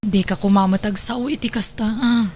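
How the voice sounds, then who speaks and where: A woman playing a caring, steady wife and forgiving stepmother in an audio drama. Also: medium pitch, moderate pace, a little hiss or hum, natural voice. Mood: gentle, caring. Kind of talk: Ilocano audio drama acting.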